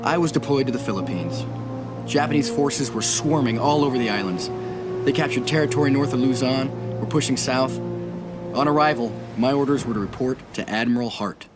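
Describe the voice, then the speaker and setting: A man's voice: mid-range voice, brisk, over loud music, close to an everyday voice. A man voicing a brash young navy officer. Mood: grave. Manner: wry, brash retrospective narration. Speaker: narrator and naval officer. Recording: war game cutscene with loud score.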